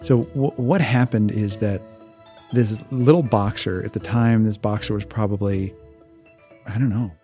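Mellow-voiced man telling stories. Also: fairly low pitch, a little slow, soft background music. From Hawaii. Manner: relaxed, laughs often, restarts words. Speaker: guest. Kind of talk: casual interview storytelling.

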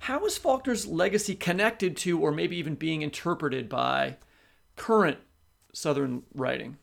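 A man's voice: fairly high pitch, unhurried, creaky. A man hosting a literary podcast, talking conversationally as he interviews a guest.

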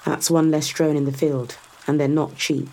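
A woman's voice: low voice, flat delivery, moderate pace, gravelly, darkish tone. An actress playing a confident, sardonic woman in a science fiction audio drama, explaining things to a skeptical visitor with assured, dryly teasing delivery. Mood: proud, informative.

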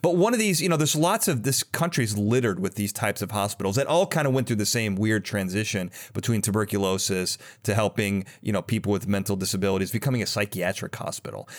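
A man hosting a casual interview, talking in a halting, rambling way and often interrupting himself. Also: fairly low pitch. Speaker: podcast host interviewer.

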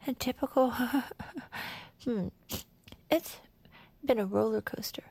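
A woman playing a grieving, giggly true-crime podcast co-host in audio fiction, halting and trailing off with nervous laughter. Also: fairly high pitch, gravelly, a little mellow, no echo, full character voice. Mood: subdued, grieving.

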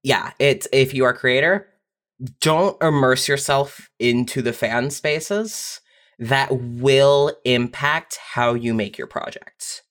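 Audio fiction writer, middle register, conversational, reflective, halting delivery with emphatic rephrasing. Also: mid-range voice, a bit bright. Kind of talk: casual, reflective panel discussion.

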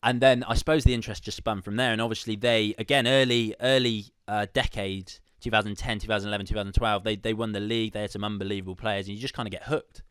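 Low, clear-voiced man hosting a podcast. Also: fairly even intonation, quick pace, fairly bright tone, no room sound. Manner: chummy, informal. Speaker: podcast host. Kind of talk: casual sports podcast chat.

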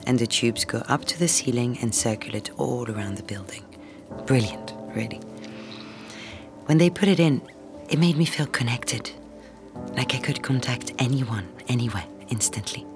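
Office secretary, engaged young lady, low voice, polite, audio drama w music under it. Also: expressive, moderate pace. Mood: reflective. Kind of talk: audio drama acting and first-person narration. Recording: music behind the voice.

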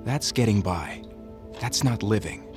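An actress playing an experienced therapist in an audio drama, husky and composed, asking probing, direct questions. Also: female voice, rumbling low, expressive, measured pace, over music.

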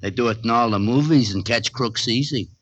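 A man's voice: mid-range voice, breathy edge, no echo, highs cut off, close to an everyday voice. A male actor playing a dim, gullible American police sergeant with a slangy, protesting manner in an old radio drama. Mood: dopey, protesting. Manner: slow-witted, slangy, protesting. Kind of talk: 1940s radio comedy-drama acting. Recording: dry old radio broadcast.

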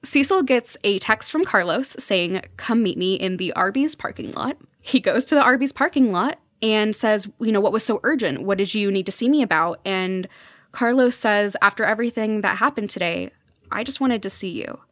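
A woman with a mid-pitched voice talks as a guest on a podcast, recorded very dry. She comments earnestly and conversationally, pausing to find her phrasing as she goes. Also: lively intonation, fairly bright tone, no room sound.

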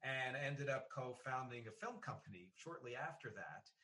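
A man's voice: medium pitch, lively intonation, normal speed, sharp tone. An American man hosting a casual interview, rambling and often cutting into his own sentences. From South Jersey, United States. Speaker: podcast host and interviewer.

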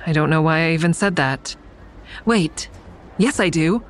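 Low-voiced, guarded, sardonic heroine. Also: female voice, unhurried, slight background noise. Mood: tense narration. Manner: dry, defiant, wry. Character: guarded, sardonic woman, crisis counselor with secret kills. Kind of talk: audio drama dialogue and first-person narration.

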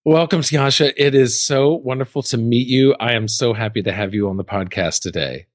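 A man's voice: medium pitch, normal speed, no room sound, clean studio sound. A middle-aged man hosting a podcast, moving between prepared narration and interview talk. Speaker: podcast host.

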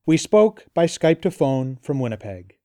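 Mellow-voiced man reading a radio introduction. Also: medium pitch, sing-song, moderate pace, dry recording, studio quality. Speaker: radio host, interviewer. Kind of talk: scripted narration.

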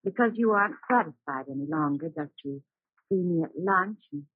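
An actress playing a gentle, principled old flame in an old radio drama, restrained and wistful. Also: female voice, on the low side, animated, brisk, slightly rough, no room sound. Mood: reluctant. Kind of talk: 1940s radio drama acting. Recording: muffled, remastered old radio recording.

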